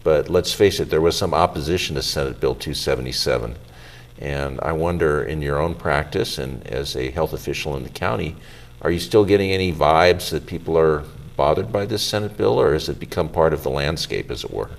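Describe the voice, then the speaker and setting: A middle-aged man, a physician, hosting a health show, presenting in a measured, formal way and interviewing a guest. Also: extremely low pitch, gravelly.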